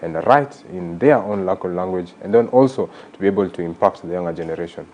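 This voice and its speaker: A man hosting a radio talk show, listening with steady murmurs and asking long, measured questions. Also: low voice, a little hiss or hum. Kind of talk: radio interview conversation.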